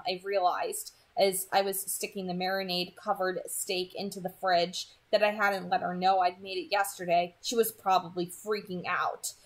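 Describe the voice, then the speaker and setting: A woman reading a first-person story aloud as its narrator. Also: on the low side.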